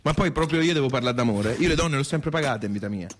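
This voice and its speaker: A man acting a coarse, blustering provincial fellow with a Tuscan accent in a radio comedy. He rambles, repeats himself and exclaims at a middling pitch, with some noise and clicks behind him. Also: animated, quick pace, natural voice. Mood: crude, dismissive. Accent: Tuscan Italian. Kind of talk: theatrical radio comedy dialogue.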